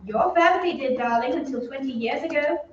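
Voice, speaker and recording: A woman's voice: sits high, a little fast, lightly acted. A woman playing a genteel, reassuring English aunt and lady of the house in old-time radio acting. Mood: soothing. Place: theatre stage. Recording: echoey stage, distant mic, dull, faint noise.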